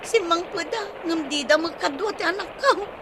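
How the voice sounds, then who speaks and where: High-voiced fretful village mother played by an actress. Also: moderate pace, background noise. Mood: worried. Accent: Filipino, Ilocano. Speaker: drama actress. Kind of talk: Ilocano radio comedy drama acting.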